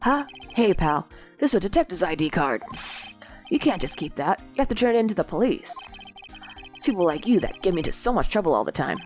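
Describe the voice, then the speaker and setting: Woman doing a goofy loyal cop guy, says pal a lot, lowish voice, swoopy, kinda stuffy from a cold. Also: big pitch swings, brisk, darkish tone, soft background music. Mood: earnest. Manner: folksy, calls people pal. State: sick with a cold.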